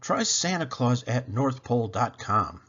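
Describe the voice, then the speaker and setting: A man's voice: medium pitch, big pitch swings, a little slow, a bit bright, lo-fi sound. A man voicing a spirited, skeptical young girl in a children's storybook. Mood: scheming.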